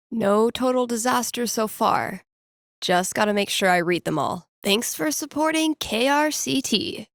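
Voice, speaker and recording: A woman's voice: mid-range voice, gravelly, dry recording. A woman playing a young indie radio DJ and tabletop gamer in a video game, musing to herself with dry, self-deprecating sarcasm. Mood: nervous, self-mocking, then on-air patter. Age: young adult.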